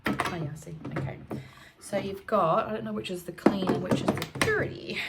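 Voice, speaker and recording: A woman with an Australian accent, croaky from allergies, chatting casually as she works through a DIY cleaning job at home. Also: mid-range voice, fairly even intonation, normal speed, very noisy. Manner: thinking aloud, chatty, self-correcting. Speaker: homestead vlogger. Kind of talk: casual DIY vlog chat. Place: home, indoors.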